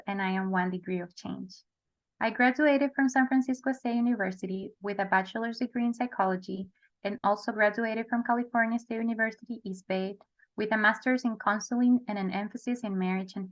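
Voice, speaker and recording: A woman's voice: medium pitch, fairly bright tone, rough recording. A young woman from El Salvador, a therapist, earnestly telling her life story in a close-mic recorded video. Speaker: honoree, therapist.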